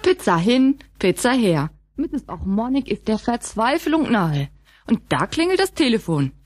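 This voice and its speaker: Lively woman narrator. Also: a little high, a little slow. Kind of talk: scripted audio drama narration. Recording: faint hiss on old cassette.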